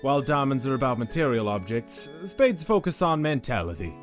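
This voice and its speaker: Man as a sly, lilting casino card sharp. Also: medium pitch, big pitch swings, brisk, soft background music, lightly acted. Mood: suave, lecturing. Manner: suave, teasing, drawling explanations. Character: smooth, sly dice-headed casino manager, condescending card sharp. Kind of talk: animated comic dub acting.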